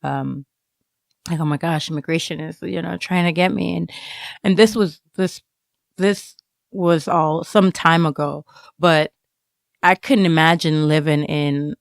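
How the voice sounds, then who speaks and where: A woman being interviewed, talking casually and reflectively, halting as she searches for words. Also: low-pitched, steady tone, vocal fry, dry recording, clean studio sound. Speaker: interviewed student writer.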